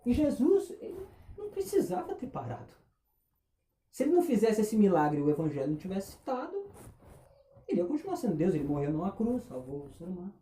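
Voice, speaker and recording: A man preaching a sermon, impassioned, firing rhetorical questions and dropping between loud and hushed. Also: high-pitched, huge pitch swings, fast, echoey room. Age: 20s.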